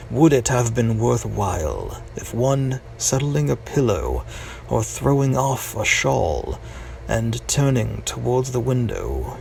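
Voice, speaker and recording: A man reciting poetry dramatically, with deliberate phrasing, long pauses and hushed drops. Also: medium pitch, gravelly. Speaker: amateur poetry reader. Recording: distant mic with faint noise.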